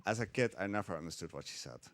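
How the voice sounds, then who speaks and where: Low-voiced young man narrating a speedrun. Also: animated, racing. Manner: hesitant, with many fillers. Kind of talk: live gameplay commentary. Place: marathon event stage.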